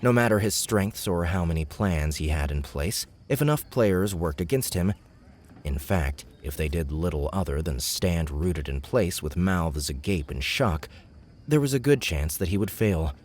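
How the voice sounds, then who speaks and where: A man's voice: low voice, animated, normal speed, a little mellow, slight background noise. A man reading scripted fantasy fiction as an audiobook narrator.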